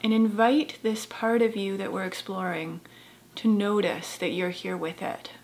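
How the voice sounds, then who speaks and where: A woman therapist leading a guided meditation in a gentle, soft-spoken, unhurried way with long pauses. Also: mid-range voice, steady tone.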